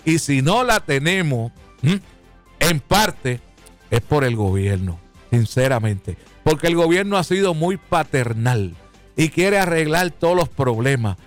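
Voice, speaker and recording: A man hosting a live radio talk show, folksy and joking, often calling listeners "papá". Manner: jokey, folksy, calls listeners "papá", swings pitch for emphasis.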